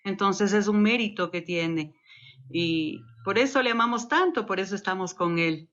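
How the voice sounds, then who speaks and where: Muffled audio, lady chatting, affectionate and simple, medium pitch. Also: normal speed, a bit bright. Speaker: interview guest. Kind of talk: casual chat.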